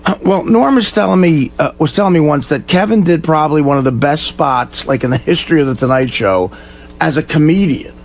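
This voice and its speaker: A man's voice: on the high side, fairly quick, a little hiss or hum. A man co-hosting a radio show, chatting casually in earnest, admiring asides. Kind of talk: casual chat.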